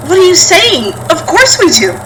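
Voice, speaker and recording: A woman's voice: very high voice, big pitch swings, normal speed. A woman playing a guarded, impatient daughter and sister in a naturalistic audio drama, with terse, prodding, conversational delivery. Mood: firm, urgent. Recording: distant from mic, clear background noise.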